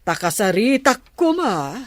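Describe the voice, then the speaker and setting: A woman playing a sly, middle-aged aunt with drawn-out, syrupy sympathy in an Ilocano radio drama. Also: mid-range voice, sing-song, dry recording. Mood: syrupy concern.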